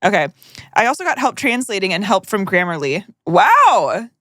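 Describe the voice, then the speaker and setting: A woman's voice: medium pitch, big pitch swings, normal speed, pushed and bright, dry recording, clean studio sound. Profane young woman podcast co-host. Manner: profane, joking asides while reading. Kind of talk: casual chat, reading listener letters aloud.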